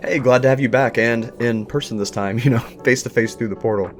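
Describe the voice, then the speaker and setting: A man's voice: mid-range voice, fairly quick. A man hosting a casual interview, speaking politely and a little hesitantly. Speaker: talk show host, interviewer. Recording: music under the voice.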